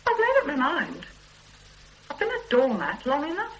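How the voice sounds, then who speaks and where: A fed-up, determined wife. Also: female voice, high voice, huge pitch swings, moderate pace. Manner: firm and resentful. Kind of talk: radio drama acting.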